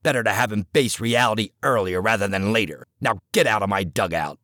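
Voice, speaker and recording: A man's voice: lowish voice, a little fast, pushed and bright, dry recording, clean studio sound, pitched below the performer's own voice. A man reading the part of a stubborn male baseball coach, curt and dismissive. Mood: contemptuous.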